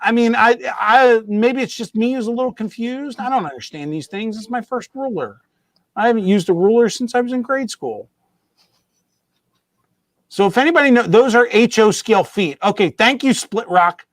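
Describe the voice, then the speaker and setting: A man's voice: very high voice, moderate pace, dry recording. A man hosting a model railroad show, chatting casually and joking as he rambles, restarts his sentences and corrects himself. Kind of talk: casual live-stream chat.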